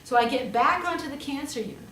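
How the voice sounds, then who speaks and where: A woman's voice: sits high, animated, fast, fairly bright tone. A woman pastor giving a storytelling sermon in a conversational way, with rhetorical questions and emphatic repetition. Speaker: pastor preaching, also a hospital chaplain. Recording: roomy, distant pickup with faint noise.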